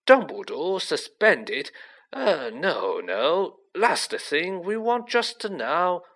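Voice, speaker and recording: Storybook official , played by a man: ageing, nervous and flustered, stammering bluster, pitched high, very animated. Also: very slow, exaggerated voice. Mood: alarmed, flustered.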